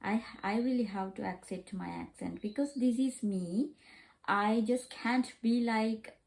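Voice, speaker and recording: A woman learning English as a second language, talking casually in a vlog, pausing with many fillers and repeating herself. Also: on the high side, gravelly, a little mellow, poor audio. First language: not English.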